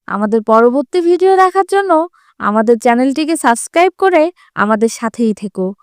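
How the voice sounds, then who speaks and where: A woman's voice: on the high side, animated, normal speed, clean tone, dry recording, studio quality. A woman narrating a scripted children's fable as a storyteller.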